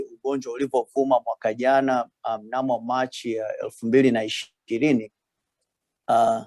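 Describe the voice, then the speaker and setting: A man's voice: mid-range voice, a little mellow, no echo. Guy on a zoom call, lots of uhs, dry close sound. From Tanzania. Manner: hesitant, many fillers, switches between Swahili and English. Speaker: radiologist guest speaker. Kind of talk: video call talk. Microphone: video call.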